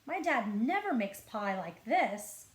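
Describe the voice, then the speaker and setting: Woman voicing a curious, animated storybook boy. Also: fairly high pitch, slow. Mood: impressed. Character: friendly, curious young neighbour boy. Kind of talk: children's storybook read-aloud. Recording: roomy, echoing sound.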